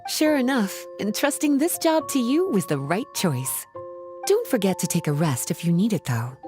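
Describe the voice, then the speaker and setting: A woman's voice: medium pitch, sing-song, normal speed, over music, close to an everyday voice. A woman voicing an elegant, teasing grown-up sorceress in a video game, coy, honeyed and playful. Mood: affectionate, teasing. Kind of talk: English video game voice acting.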